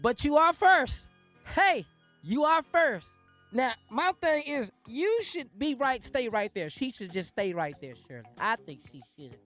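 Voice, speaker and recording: A man co-hosts comic radio banter in a high, swooping voice. He is playful and emphatic, repeating his points. Music runs underneath. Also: sing-song, measured pace, fairly bright tone. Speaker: radio co-host.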